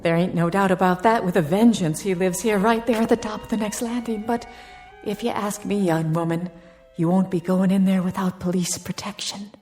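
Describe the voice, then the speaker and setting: A woman's voice: mid-range voice, fairly even intonation, normal speed, darkish tone, faint music behind, lightly acted. Woman playing a folksy, gossipy cleaning lady. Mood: wry, warning. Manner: folksy, colloquial, wry. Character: gossipy, wary working-class female building cleaner. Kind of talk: radio drama acting.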